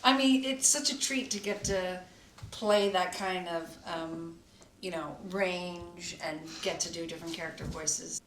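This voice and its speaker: A woman voice actor reflecting on her preparation in a relaxed behind-the-scenes chat, pausing often to find her words. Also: on the low side. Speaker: voice actor in the radio play. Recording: roomy echo, distant mic, faint noise.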